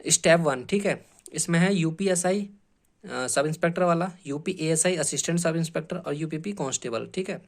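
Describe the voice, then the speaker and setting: A man's voice: on the high side, moderate pace, gravelly. A man giving informal exam-prep instruction as a coaching teacher, explaining step by step with frequent short check-in tags and English terms mixed in. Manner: explanatory, repeatedly checks in with short tag phrases; mixes English terms into speech.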